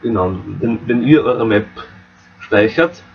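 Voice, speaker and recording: A man with an Austrian accent walking viewers through a game map editor, relaxed and explaining step by step. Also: mid-range voice, takes it slowly, dull audio, a little hiss or hum. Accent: Austrian German. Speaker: modding tutorial host. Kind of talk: screen-recorded software tutorial.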